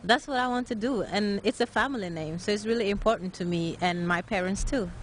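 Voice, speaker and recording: A woman's voice: mid-range voice, fairly quick, a little hiss or hum. A nineteen-year-old woman from Norway, a young fashion designer answering interview questions earnestly with many hesitant filler sounds. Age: late teens.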